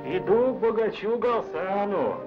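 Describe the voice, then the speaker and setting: An actor playing a sorrowful, poor old goatherd with a mournful manner in a Soviet cartoon. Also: male voice, high voice, lively intonation, a little slow. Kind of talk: Soviet animated film acting. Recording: dull old film soundtrack with music.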